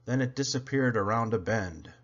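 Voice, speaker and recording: A man reading a children's story aloud in an expressive, dramatic, deliberate way. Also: fairly low pitch, lo-fi sound. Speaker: storybook reader.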